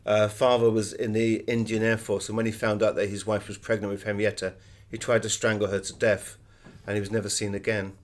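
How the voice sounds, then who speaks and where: A man's voice: lowish voice, flat delivery, fairly quick. A middle-aged British man being interviewed as an author, telling anecdotes in a conversational way.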